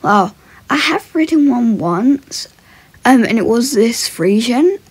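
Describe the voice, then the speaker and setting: A woman's voice: on the high side, animated, slow pace, breathy edge. A young woman giving casual vlog commentary while riding, breaking off into short soothing commands and murmured praise to a horse. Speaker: horse rider in a voiced role-play video.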